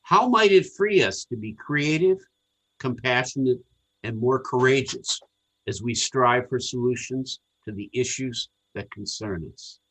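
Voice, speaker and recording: A man reading a scripted sermon to a congregation in a measured, earnest, deliberate way. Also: mid-range voice, lively intonation, a bit bright, breathy edge, rough recording. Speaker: congregational service speaker.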